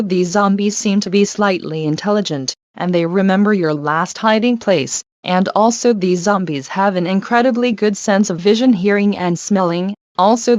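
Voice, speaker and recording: A formal female news reporter played by a woman delivers a scripted bulletin in an outbreak drama. Her pitch is mid-range, and the audio is dry and somewhat muffled. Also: a little mellow, no room sound, lightly acted.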